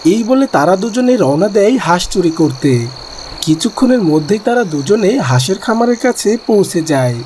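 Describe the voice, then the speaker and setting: A man's voice: sits high, expressive, moderate pace, darkish tone, a little hiss or hum. A man narrating a scripted animal cartoon story as the storyteller.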